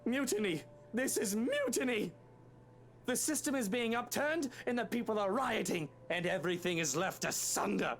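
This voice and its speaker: An actor playing an angry, haughty rich British husband in a game, pompous and theatrical. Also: male voice, high voice, big pitch swings, moderate pace, vocal fry, a bit bright, faint music behind, exaggerated voice. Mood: indignant outrage. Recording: very echoey, distant, faint noise.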